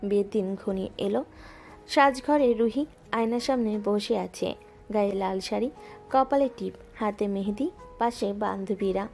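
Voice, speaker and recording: A woman narrating a romantic audio story in a smooth, even storytelling voice. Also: mid-range voice, a little slow. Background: soft background music.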